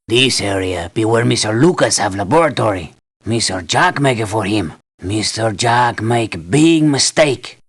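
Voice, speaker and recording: A man playing an earnest, wary island native guide in a video game, speaking gravelly, simple broken English. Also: mid-range voice, fairly bright tone, heavily acted.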